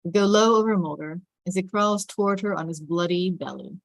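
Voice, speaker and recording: A woman's voice: fairly low pitch, fairly quick, creaky, no echo. A woman reading screenplay stage directions as scripted narration.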